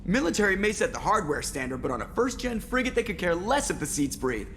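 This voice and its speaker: Sardonic, excitable male pilot, very lively intonation. Video game role. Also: high voice, fast, slight background noise, heavily acted. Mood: excited. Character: wisecracking, sarcastic male starship pilot.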